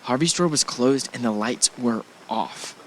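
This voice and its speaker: A man's voice: mid-range voice, measured pace, a little hiss or hum, close to an everyday voice, rough recording. Wary, reluctant boyfriend in an audio drama acted by a man. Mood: uneasy, baffled. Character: wary, reluctant male partner of an adventurous woman.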